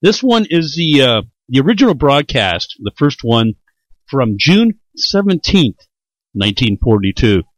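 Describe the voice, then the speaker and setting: A man's voice: medium pitch, sing-song, measured pace, no echo, rough recording. A man casually introducing programs as a radio show host, with a wry, playful manner.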